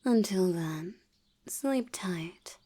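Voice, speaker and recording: A woman voicing a seductive, commanding vampire hypnotist in an intimate audio roleplay, soft and breathy, often near a whisper, with a teasing, coaxing manner. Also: lowish voice, expressive, exaggerated voice. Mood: satisfied, fond. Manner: slow, coaxing, teasingly dominant.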